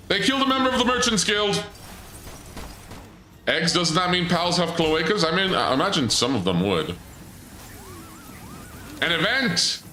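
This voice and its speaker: Male streamer, crisp bright voice, echoey room, chatty and joking with chat. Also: high voice. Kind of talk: casual gameplay commentary.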